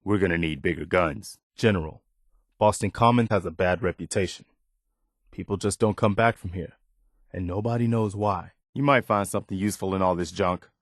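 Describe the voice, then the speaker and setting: An actor playing an earnest, steadfast militia officer in a post-apocalyptic video game, speaking plainly and in a measured way with an American accent. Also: male voice, low voice, no echo, studio quality, natural voice. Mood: serious, resolute. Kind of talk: video game companion voice lines.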